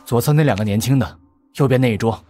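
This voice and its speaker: A man voicing a young, eager gang underling in an audiobook, with a husky voice. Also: mid-range voice, quick pace, dark tone, lightly acted. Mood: urgent informing. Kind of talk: dramatised audiobook character acting.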